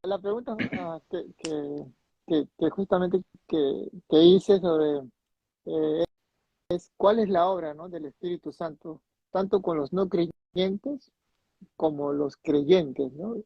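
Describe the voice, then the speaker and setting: A man's voice: a little high, slow pace, vocal fry, breathy edge, no echo, dull audio. A man moderating a panel discussion, asking questions hesitantly with many stammers and giving brief affirmations.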